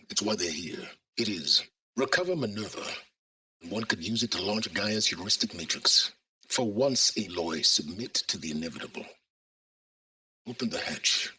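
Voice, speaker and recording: A cold, calculating scholar from a video game. His voice is lowish and very husky, with a forceful edge. He speaks in formal, measured phrases, aloof and condescending, with dry sardonic bite. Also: exaggerated voice, rough recording. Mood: calm, resigned. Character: cold, calculating, aloof male scholar, middle-aged or older. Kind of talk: scripted video game voice acting.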